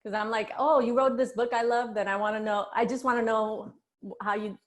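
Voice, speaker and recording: A woman's voice: a little high, steady tone, brisk. A woman panelist answering candidly and informally in a casual online discussion. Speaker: author, panelist. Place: online video event.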